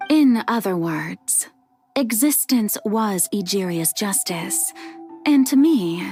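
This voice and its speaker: A woman playing an ancient, self-sacrificing goddess in a video game cutscene, measured and gently teasing, soft and often close to a whisper. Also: mid-range voice, expressive, soft background music. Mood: serene, philosophical.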